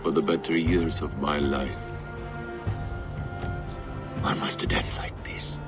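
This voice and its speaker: Game casting: a solemn, bereaved Viking warrior, very low voice, slow delivery, music underneath. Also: male voice, flat delivery. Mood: grieving. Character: grieving, aging Norse berserker warrior, solemn and weary.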